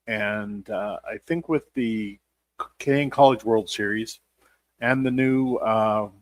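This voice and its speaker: A man speaking as a team executive in a casual interview, explaining in halting, drawn-out phrases. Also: on the low side, no room sound. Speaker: team general manager and president.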